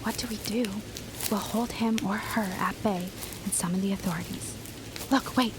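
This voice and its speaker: A woman's voice: mid-range voice, normal speed, background noise, lightly acted. A woman playing an eager, inquisitive and loyal neighbour in a period audio drama, with earnest, old-fashioned phrasing. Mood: eager, conspiratorial.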